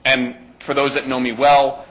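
A man with a loud voice giving a live talk to an audience, emphatic and peppering his points with "right?". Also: medium pitch, level tone, moderate pace. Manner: emphatic, with rhetorical "right?" checks. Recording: dull lo-fi recording.

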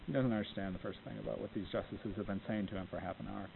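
A man with a low voice that he says is not dynamic, giving an informal talk to law students from a podium microphone, unhurried and full of ums. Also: steady tone, creaky. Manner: unhurried, many ums, plainspoken. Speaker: lecturer on appellate oral argument. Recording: tinny phone-like audio, faint hiss.

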